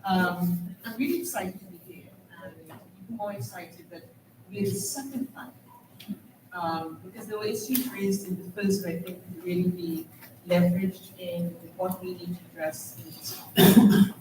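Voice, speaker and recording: A woman's voice: fairly low pitch, moderate pace. A woman from Trinidad with a Trinidadian accent, moderating a conference panel with earnest, rhetorical questions. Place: conference hall. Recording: echoey hall, distant mic, faint noise.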